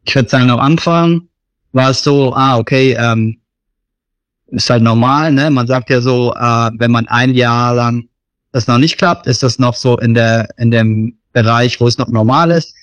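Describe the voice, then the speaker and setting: A man's voice: medium pitch, measured pace, clear, resonant tone, dry recording. Hesitant German man, dry close sound. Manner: hesitant, lots of fillers and "genau". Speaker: missionary guest. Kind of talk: interview answers.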